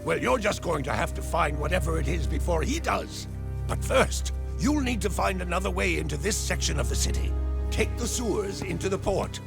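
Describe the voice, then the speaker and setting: Creaky, animated male ally. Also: high voice, moderate pace, over music, exaggerated voice. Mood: instructive. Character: earnest male ally giving apologetic guidance. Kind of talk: video game cutscene acting.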